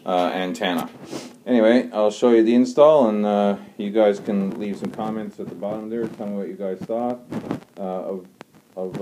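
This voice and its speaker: A man giving a casual, unscripted walkthrough as an amateur vlogger, speaking haltingly with frequent pauses. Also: lowish voice, darkish tone. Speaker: amateur vlogger showing an installation. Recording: clear car and outdoor background noise.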